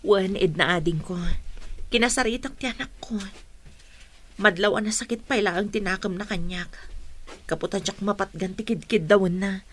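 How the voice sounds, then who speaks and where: A woman's voice: medium pitch, moderate pace, lightly acted. A woman playing a troubled, earnest mother with an Ilocano accent in a radio drama. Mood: sorrowful. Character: troubled, pleading mother of a student.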